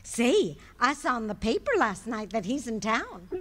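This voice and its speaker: A woman's voice: on the high side, sing-song, moderate pace, a bit bright. An actress playing a cheerful, teasing wife in a live radio play, laughing often as she needles her husband. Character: cheerful teasing wife, good-natured and knowing.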